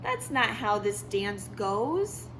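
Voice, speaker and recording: A woman voicing a friendly storybook squirrel who teaches dancing and is quick to complain. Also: medium pitch, very animated, a bit bright, a little hiss or hum, exaggerated voice, rough recording. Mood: pained, scolding.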